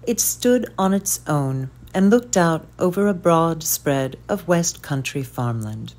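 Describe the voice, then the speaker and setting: A woman reads a story aloud in a measured, unhurried way. Her voice sits a little low for a woman, and her phrases often fall away softly at the end. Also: animated. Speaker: audiobook reader. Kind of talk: scripted narration.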